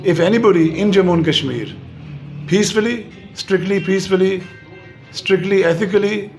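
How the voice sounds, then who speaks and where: A man's voice: high-pitched, darkish tone, soft background music. A man interviewing a guest, asking pointed follow-up questions. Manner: brisk, precise questioning.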